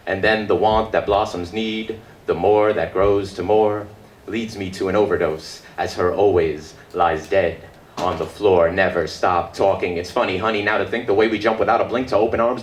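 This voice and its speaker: A man performing his own spoken word poetry at a live reading, in a rhythmic, dramatic delivery with deliberate pauses. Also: fairly low pitch. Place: indoor book release reading before an audience. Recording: echoey live room with background noise.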